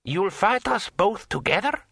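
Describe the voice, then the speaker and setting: A man's voice: a little high, unhurried, bright, forceful tone, dry recording, studio quality, heavily acted. A man voicing a haughty male dragon lord in a fantasy audiobook, firm and scornful. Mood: incredulous.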